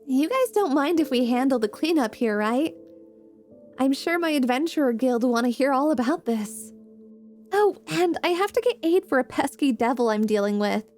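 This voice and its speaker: A woman playing a bright, loud, cheerful young adventurer who gets easily flustered, in a fantasy ASMR roleplay. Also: high-pitched.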